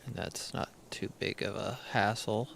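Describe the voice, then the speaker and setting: A man streaming a game, talking himself through each step at an unhurried pace. His voice sits on the low side and comes out gravelly and crackly, with a dry throat. Much of it is half-muttered, as if thinking aloud. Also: fairly bright tone. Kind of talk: casual live gameplay commentary.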